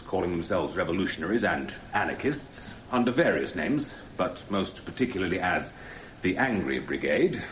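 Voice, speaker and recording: An actor playing a grave, methodical British prosecuting barrister in a radio drama, speaking formally and deliberately. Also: male voice, lowish voice. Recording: roomy, slightly dull sound, faint noise.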